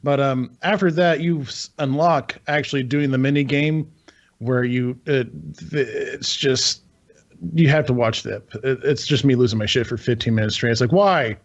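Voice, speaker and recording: A man's voice: medium pitch, moderate pace, dull audio. A man chatting casually about video games as a podcast co-host, rambling, swearing freely and sounding incredulous. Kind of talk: casual video game chat.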